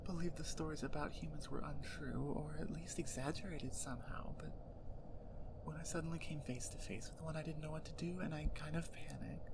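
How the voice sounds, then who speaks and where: A gentle young wolfgirl, played by a woman, speaks in a very low feminine voice in an intimate ASMR roleplay. Her delivery is soft and halting, slipping toward a whisper. Clear background ambient noise sits behind her. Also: steady tone. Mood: remorseful. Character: young female wolfgirl, remorseful, gentle, curious about humans.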